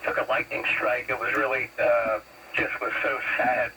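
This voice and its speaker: A man's voice: mid-range voice, fairly even intonation, moderate pace, gravelly. An American man in his fifties chatting folksily over a CB radio, with formal radio phrasing. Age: 50s. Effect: CB radio transmission. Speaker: CB radio operator. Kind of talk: casual two-way radio chat. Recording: noisy CB radio signal, distant.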